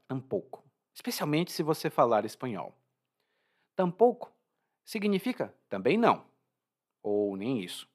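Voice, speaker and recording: A Brazilian man with a Brazilian Portuguese accent, teaching Portuguese vocabulary in a chatty, informal way. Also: fairly high pitch. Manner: lively, informal, chatty, laughs at own jokes. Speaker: language teacher. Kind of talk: explaining vocabulary from a story.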